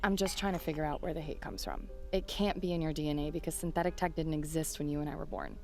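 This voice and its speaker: A woman with a fairly low voice a sardonic journalist in an audio drama. She probes in a pointed, challenging manner, with faint music behind her. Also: steady tone, quick pace, a little mellow. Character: probing, sardonic female journalist.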